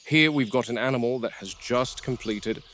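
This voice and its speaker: A man playing a calm, commanding veteran game warden in found-footage horror, speaking in measured, terse, authoritative phrases. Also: medium pitch, a little hiss or hum, close to an everyday voice. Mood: measured, explanatory. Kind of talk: found-footage horror film acting and log narration. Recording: VHS-style found footage, music underneath.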